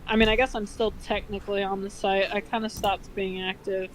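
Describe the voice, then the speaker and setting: A woman's voice: medium pitch, little pitch movement, fairly quick, over a noisy crowd, rough recording. A woman in her twenties co-hosting in casual chat, blunt and sweary, with sarcastic exaggeration. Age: 20s. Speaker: video co-host.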